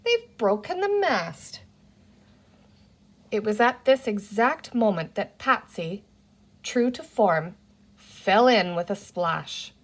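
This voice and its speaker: A woman narrating a children's adventure story as an expressive read-aloud storyteller. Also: medium pitch, slow.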